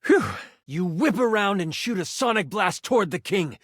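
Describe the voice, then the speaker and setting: An excitable male game master in a fantasy video game. He tells the adventure with theatrical flair and expressive asides. The voice sits slightly high and is recorded very dry and close. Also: normal speed, no echo. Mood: urgent storytelling. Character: excitable, dramatic male twenty-sided die as game master. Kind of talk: dramatic tabletop fantasy narration.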